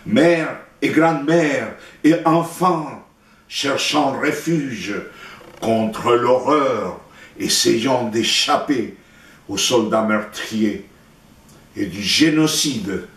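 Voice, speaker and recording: A man from Chile with a Chilean Spanish accent, a native Spanish speaker, recites his poetry in a solemn, declamatory way with deliberate pauses. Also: medium pitch, fairly bright tone. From Santiago, Chile. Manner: deliberate, declamatory, with pauses between short phrases. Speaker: poet reading own work. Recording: roomy echo, distant microphone.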